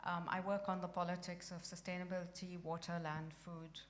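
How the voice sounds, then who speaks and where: A woman's voice: lowish voice, level tone, fairly quick, slight background noise. A woman professor introducing herself on a conference panel in a friendly, direct way. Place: conference hall.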